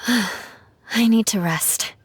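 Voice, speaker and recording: A woman's voice: fairly high pitch, drawn-out words, a little hiss or hum. A woman voicing a poised, caring and level-headed young female knight in a video game. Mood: caring, weary. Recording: game music under voice.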